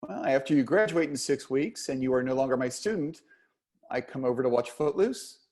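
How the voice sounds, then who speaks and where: Man playing a playful dance teacher, animated delivery. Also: mid-range voice, fairly quick, close to an everyday voice. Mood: warm, encouraging. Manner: teasing, encouraging. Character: charming, patient, flirtatious male dance teacher. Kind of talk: play reading, romantic comedy.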